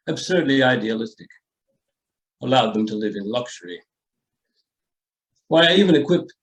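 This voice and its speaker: Man playing a pompous, animated rich patriarch. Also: mid-range voice, close to an everyday voice, rough recording. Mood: haughty, dismissive. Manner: pompous, formal, hesitant pauses. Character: aloof, wealthy estate-owner father, genteel and coolly ruthless. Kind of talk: old-time radio drama acting.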